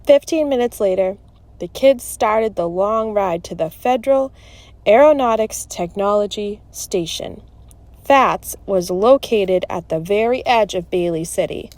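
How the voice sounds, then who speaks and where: A woman narrating a children's chapter book in an expressive, scripted read-aloud style. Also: mid-range voice, a little slow, no room sound.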